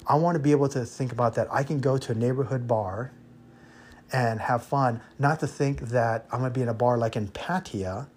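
An American man speaks in a medium-pitched voice with faint noise in the background. He delivers an informal podcast monologue as an expat host. His manner is blunt and opinionated, and he hammers points home through repetition. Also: a little mellow. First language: English.

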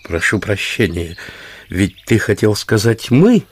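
Неторопливого монаха Тука. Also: male voice, lowish voice, big pitch swings, unhurried, slightly rough, exaggerated voice. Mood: curious, cautious. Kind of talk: Russian audio play acting.

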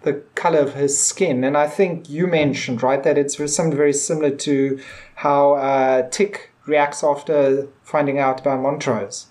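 A woman's voice: deep voice, steady tone, moderate pace, darkish tone. A woman co-hosting a podcast, talking casually and feeling her way through her thoughts in hesitant, meandering phrases. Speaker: podcast co-host. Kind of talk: casual discussion of a TV episode.

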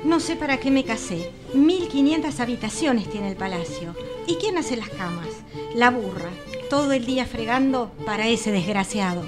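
Actress, young storybook maiden, Rioplatense accent, highish voice, radio comedy, clear music underneath. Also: female voice, animated, moderate pace, close to an everyday voice. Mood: fed up. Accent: Argentine, Rioplatense. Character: sweet, flattered young fairy-tale maiden, later grumbling. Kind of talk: comic radio theatre parody.

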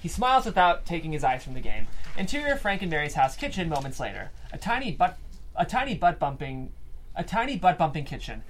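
A woman narrates stage directions at a table read in a low voice. Her intonation is very lively, with faint noise behind her. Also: a little fast. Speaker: script narrator.

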